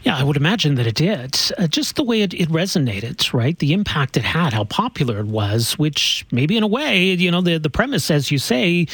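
A male podcast host asking warm, admiring interview questions and affirming his guest. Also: fairly high pitch, slightly rough, no echo.

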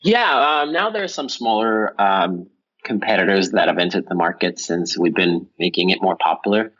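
Very deep-voiced man from Finland. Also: normal speed, lo-fi sound. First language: Finnish. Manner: relaxed, rambling with fillers and restarts. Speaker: company founder, guest. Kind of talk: casual interview answers.

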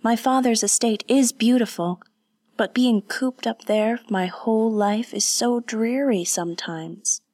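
A woman voicing a sheltered, curious young noblewoman who speaks brightly and eagerly. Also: medium pitch, unhurried, no room sound, studio quality, pitched above the performer's own voice, close to an everyday voice.

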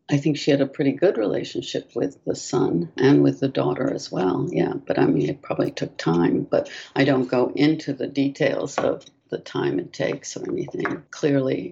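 An older woman whose first language is French, answering interview questions softly and hesitantly over a video call. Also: very deep voice, vocal fry, slightly rough, lo-fi sound. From France. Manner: soft-spoken, hesitant, many ums. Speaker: author, guest.

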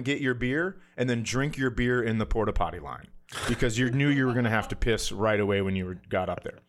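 A man telling a casual comic story in a self-deprecating way, acting out what people said as he goes. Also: medium pitch. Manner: self-deprecating, animated anecdote telling with acted-out quotes. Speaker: comedian podcast guest telling a story.

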